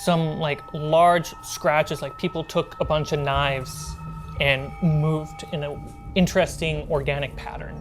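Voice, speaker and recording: A woman narrating a tabletop role-playing game as game master, describing scenes haltingly and with suspense, with music behind her. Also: low voice, fairly even intonation.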